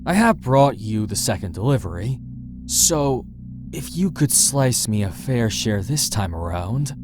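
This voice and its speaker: A man's voice: mid-range voice, expressive, unhurried, natural voice. A man plays a guarded, businesslike mercenary from a visual novel. His mid-range voice is cool, firm and terse, with distinct noise behind it. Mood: bargaining. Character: guarded, businesslike male mercenary errand runner.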